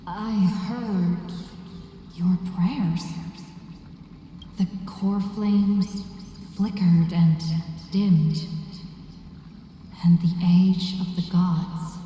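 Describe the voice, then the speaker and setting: A woman voicing an awakened deity in a video game, solemn, humble and serene, speaking in halting, grave, ceremonial phrases. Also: lowish voice, fairly even intonation, dark tone, muffled recording. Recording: distant, cavernous reverb, faint noise.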